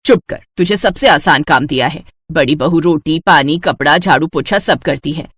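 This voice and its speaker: A woman voicing a stern, bossy middle-aged mother-in-law with a husky voice in a cartoon family story. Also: sits low, moderate pace, dry recording, clean studio sound. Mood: scolding. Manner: bossy, scolding.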